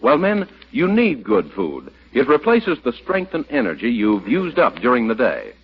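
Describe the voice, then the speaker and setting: A man's voice: mid-range voice, huge pitch swings, moderate pace, breathy edge. A man announcing in scripted 1940s radio sponsor style, with a polished, emphatic salesman's delivery. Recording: muffled old 1940s radio recording.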